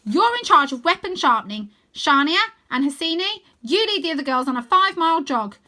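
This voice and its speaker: A woman's voice: high voice, very animated, a little fast, pushed and bright, voice pushed up, exaggerated voice. A woman voicing a fierce, bossy young girl chief in a children's storybook, with a commanding, curt, scornful manner. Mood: barking orders.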